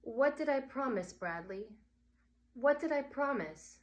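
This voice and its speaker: A woman's voice: sits high, lively intonation, unhurried, pitched above the performer's own voice. A woman voicing an exasperated mother in a storybook, questioning and protesting defensively.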